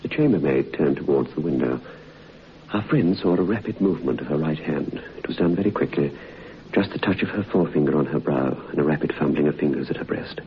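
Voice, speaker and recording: Super deep guy narrating a radio play, dull old recording w/ faint hiss. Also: animated, a little fast. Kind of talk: scripted radio drama narration.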